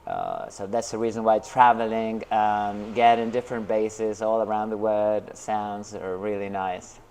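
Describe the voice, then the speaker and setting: A man's voice: fairly low pitch, animated, a little slow, darkish tone, clear, resonant tone. A man from Brooklyn, New York, answering interview questions in a casual, hesitant way, with many fillers and restarts. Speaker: interview guest, artist and teacher.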